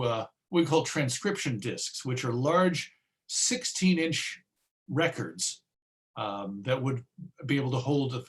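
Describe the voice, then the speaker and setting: Hesitant, musing man presenting. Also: medium pitch. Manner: hesitant, musing, pausing mid-phrase. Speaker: presenter and old-time radio collector. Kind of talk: informal online talk with audience questions.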